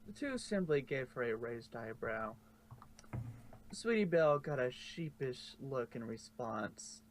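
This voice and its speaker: A man's voice: mid-range voice, animated, unhurried, a little hiss or hum. A man narrating fan fiction as an amateur story reader.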